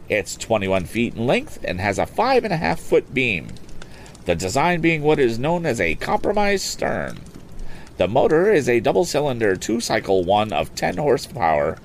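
A man doing a loud, showy sing-song auctioneer. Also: a little high, creaky, slight background noise, voice pushed up. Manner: brisk sing-song sales patter. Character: loud showy male auctioneer, middle-aged.

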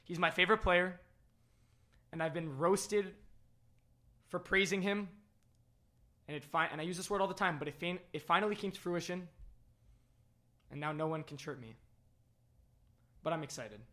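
A man co-hosting a live sports podcast, losing his voice after yelling, animated and full of restarts. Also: high voice, moderate pace, long pauses. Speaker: podcast co-host. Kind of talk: excited live sports chat.

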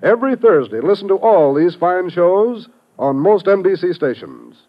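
A man's voice: sits high, very animated, moderate pace. A man with an American accent reading scripted copy as a polished, formal 1950s network radio announcer. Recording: muffled vintage radio recording.

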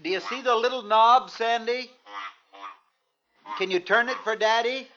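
A man's voice: extremely high pitch, unhurried, bright, forceful tone, heavily acted. An actor playing a young American father in a mid-century radio drama, firm and coaxing but tense and impatient. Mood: coaxing, insistent. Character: tense young father trying to stay calm. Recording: dull, noisy old radio recording with clicks.

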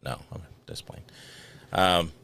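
A man's voice: low voice, sing-song, takes it slowly, vocal fry, a bit bright, dry recording. A man hosting a casual podcast chat, teasing and picky about music. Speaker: podcast host.

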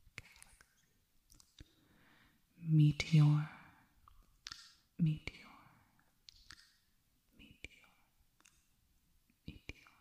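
A woman voicing a serene, otherworldly alien hypnotist in an ASMR roleplay, speaking in a soft, breathy whisper with deliberate, soothing phrasing. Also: deep voice, reverberant. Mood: hushed, trance-like. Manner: hushed, slow, deliberate, repeating soothing words.

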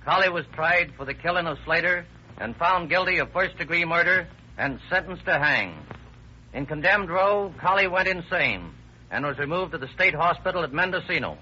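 An American actor playing a stern, probing police captain in 1930s radio style, clipped and matter-of-fact. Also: male voice, fairly high pitch, sharp tone, a little hiss or hum. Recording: dull old radio recording.

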